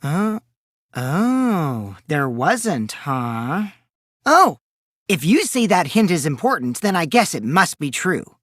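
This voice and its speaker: A man's voice: fairly high pitch, sing-song, slow pace, fairly bright tone, no echo, studio quality, heavily acted. An actor playing a mischievous teenage boy in an anime game dub, a gleeful liar who teases and taunts theatrically, shifting between singsong mockery and sly menace. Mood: coy, mocking.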